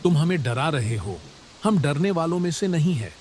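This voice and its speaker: Casting: a bright, brave young villager, animated and earnest. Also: male voice, on the high side, normal speed, a little hiss or hum. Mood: pleading. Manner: eager and polite, lively delivery. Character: clever, brave poor young village man. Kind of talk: cartoon voice acting.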